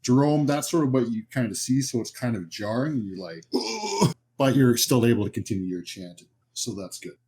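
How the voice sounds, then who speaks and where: A man's voice: mid-range voice, very animated, normal speed, a little mellow, husky. A man as game master, improvising narration and explaining rules in a conversational way for a horror tabletop game.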